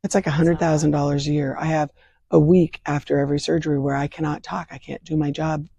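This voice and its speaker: Hoarse older woman explaining earnestly. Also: sits low, level tone, fast talker, mellow, no room sound, dull audio. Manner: earnest, explains with vivid analogies. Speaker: state leader of a vaccine advocacy group. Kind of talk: explanatory interview answers. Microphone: remote interview from home.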